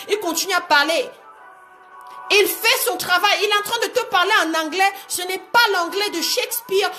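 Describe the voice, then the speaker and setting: A woman coaching online viewers in an animated, exhortative live talk, repeating phrases and asking rhetorical questions for emphasis. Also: very high voice. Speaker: motivational coach hosting a livestream.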